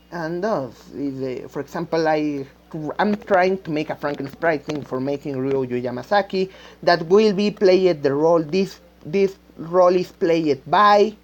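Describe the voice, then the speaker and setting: A woman with a Spanish-speaking accent rambles informally in a lowish voice. She takes her time, stopping to hunt for words and doubling back on phrases. The recording is dull and lo-fi. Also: a little mellow. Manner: halting, searching for words, repeating phrases. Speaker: amateur game creator. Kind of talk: unscripted rambling vlog talk. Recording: dull lo-fi microphone sound.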